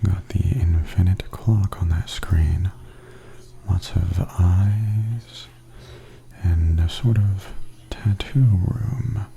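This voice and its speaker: Very mellow, low, near-whispering man. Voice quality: breathy, often near-whispered. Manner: gentle, unhurried, observational. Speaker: vlogger giving a walking tour. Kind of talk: softly spoken ASMR commentary. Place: walking through a theme park and its indoor attractions. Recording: steady background noise and ambience.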